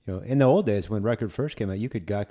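Man, lowish voice, laid-back, casual podcast interviewer. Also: quick pace, warm tone. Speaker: podcast interviewer asking questions.

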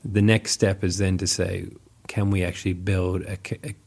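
A man's voice: low-pitched, level tone, moderate pace, vocal fry, darkish tone, husky. A man in his forties from New Zealand with an accent mixing New Zealand, Northern Irish and American traces, telling stories as an interview guest in a chatty, self-deprecating way with frequent fillers. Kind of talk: casual conversational storytelling.

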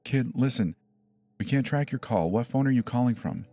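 Man as a calm, insistent emergency dispatcher. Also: medium pitch, normal speed, no echo. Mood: methodical. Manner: reassuring, methodical, probing with short questions. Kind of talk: dramatized horror story narration.

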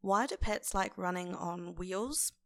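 A woman hosting a casual solo podcast in an informal, conversational way, with a croaky, hoarse voice. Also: fairly low pitch, takes it slowly, no room sound. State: lingering hoarseness after laryngitis. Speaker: podcast host.

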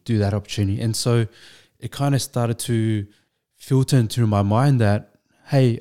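Mellow-voiced man, reflective guest. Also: lowish voice. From Australia. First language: Vietnamese. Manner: measured, thoughtful, with frequent restarts and fillers. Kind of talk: reflective storytelling.

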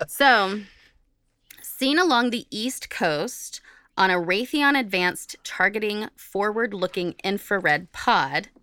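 A woman's voice: medium pitch, slow, pushed and bright, clean tone, no echo. A woman co-hosting a podcast, reading from notes in an informal, jokey way and carefully repeating technical terms. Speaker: podcast co-host.

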